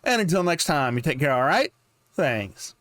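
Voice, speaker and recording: A man's voice: on the high side, sing-song, normal speed, a bit bright, a little hiss or hum. A man hosting a reaction video, giving casual, enthusiastic commentary in short informal exclamations.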